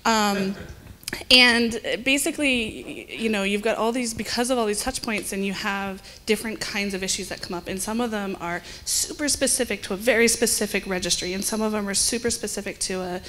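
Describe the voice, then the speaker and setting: A woman's voice: mid-range voice, fairly quick, sharp tone. A woman product lead talking casually as a panelist, with some background noise on the recording.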